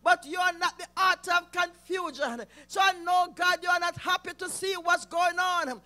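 Very high-voiced fervent man praying. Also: steady tone, normal speed, soft background music. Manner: impassioned, repeatedly calls out to God, often near shouting. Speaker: prayer leader. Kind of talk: fervent intercessory prayer.

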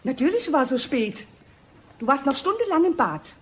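A scornful girlfriend in a radio crime play. Her voice is high and swings a lot, and she talks bluntly and colloquially. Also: sing-song, normal speed. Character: snippy girlfriend of the suspect tenant. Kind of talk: German radio crime drama acting.

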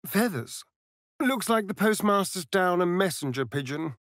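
A man's voice: high voice, animated, moderate pace, a bit bright, no room sound, studio quality. An actor voicing a sly, sardonic male vampire rogue in a video game, with a theatrical, drawling manner. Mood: dry, sardonic. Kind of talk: video game character voice lines.

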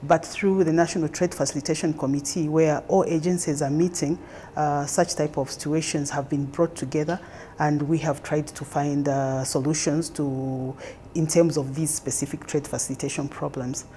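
Low-voiced woman from Zambia, explanatory. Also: steady tone, normal speed, dark tone, slight background noise. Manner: fluent, explanatory, occasional fillers. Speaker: government trade official. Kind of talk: on-camera interview answers. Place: conference room indoors.

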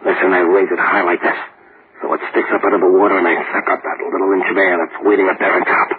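An actor playing a tough, bossy convict in a 1940s radio drama, gruff, impatient and slangy. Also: male voice, low voice, little pitch movement, fast, natural voice. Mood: scheming, bossy. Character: tough, bossy male convict plotting a prison escape. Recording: noisy, muffled old radio recording.

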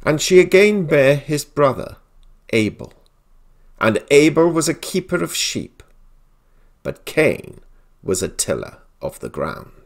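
A man, a pastor, giving a solemn Bible reading in deliberate, dramatic phrases with pauses. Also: a little high, sing-song.

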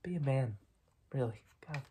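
A young man voicing a smug, menacing mob boss in a soft-spoken, half-whispered ASMR roleplay full of gangster slang. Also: mid-range voice, expressive, normal speed, slight background noise, close to an everyday voice. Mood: scornful, bossy. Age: young adult. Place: small dark room indoors.

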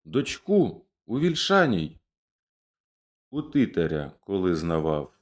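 A man's voice: sits low, wildly swooping pitch, slow, heavily acted. A man voicing a grieving, vengeful young male rebel in a declaimed verse reading. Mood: impassioned. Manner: impassioned, declamatory.

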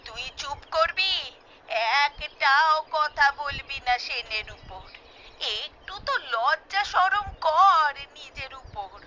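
A woman playing a sharp-tongued, disdainful old village mother in a comic cartoon, nagging in drawn-out scolds. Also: high-pitched, a little hiss or hum, heavily acted. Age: older. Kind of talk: Bengali comic cartoon acting. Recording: dull lo-fi track, music behind.

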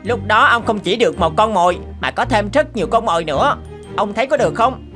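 A woman's voice: mid-range voice, lively intonation, a little fast, fairly bright tone, faint music behind, lightly acted. A woman voicing a cunning, fawning wild turkey in a cartoon, pleading and persuading. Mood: coaxing, persuasive. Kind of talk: cartoon character acting.

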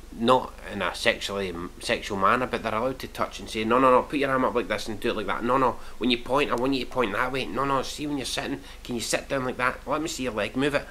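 A man's voice: mid-range voice, pushed and bright, a little hiss or hum. A man, commenting on posts he reads out in a scornful, mocking way with plenty of swearing, recorded indoors in a small room on a webcam. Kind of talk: reaction commentary reading posts.

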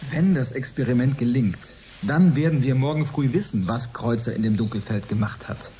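Ein Schauspieler spielt in einem Hörspiel einen ernsten Forscher, der im Interview vorsichtig und zögernd erklärt. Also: male voice, mid-range voice, normal speed, dark tone, slight background noise, lightly acted. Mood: earnest. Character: earnest, careful male research expert. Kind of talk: radio drama interview.

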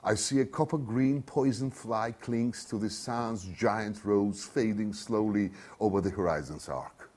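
A man in his fifties reads from a novel in a fairly low voice. His pace is very slow and measured, with deliberate pauses. The delivery is dramatic and declamatory, like an author performing literary prose. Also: a little mellow. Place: small bare studio room, seated at a desk.

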